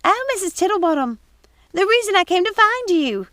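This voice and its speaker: A woman's voice: extremely high pitch, big pitch swings, brisk, sharp tone, no room sound, studio quality, voice pushed up, exaggerated voice. A woman voicing a flustered, fretful female servant in an audiobook, with urgent, exclaiming delivery. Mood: alarmed, urgent. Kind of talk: audiobook character voice.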